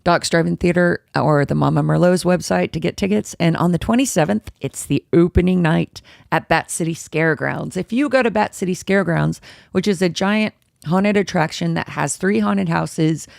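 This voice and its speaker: A woman hosting her own casual podcast, a little hoarse, chatty and rambling as she interrupts herself. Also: normal speed, darkish tone, no echo, studio quality. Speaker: podcast host. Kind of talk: casual solo podcast chat, live-streamed. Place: home room with dogs. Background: dogs and a squeaky toy at times.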